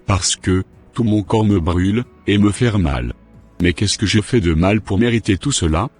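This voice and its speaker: A man's voice: lowish voice, moderate pace, slightly rough, soft background music. A man voicing a sick, repentant preacher. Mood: troubled. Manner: troubled, pleading, prays humbly.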